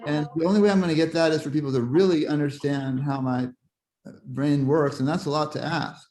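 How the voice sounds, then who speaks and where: Guy on a video call asking the panel something, kinda high voice, halting, repeats words. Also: steady tone, a little mellow. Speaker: audience member asking a question. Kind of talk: casual question on a video call.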